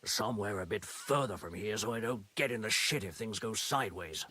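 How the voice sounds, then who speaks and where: An actor playing a gruff, wary medieval horse keeper in a video game, with husky, grudging, rustic speech. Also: male voice, on the low side, lively intonation, a little fast, pushed and bright. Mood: guarded, practical. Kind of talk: video game dialogue acting.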